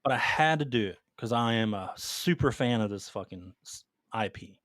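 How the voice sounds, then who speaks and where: A man's voice: medium pitch, expressive, moderate pace, a bit bright, no echo. A man co-hosting a casual gaming podcast, talking in a slangy, profane, enthusiastic way. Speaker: podcast co-host. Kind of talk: casual chat about video games.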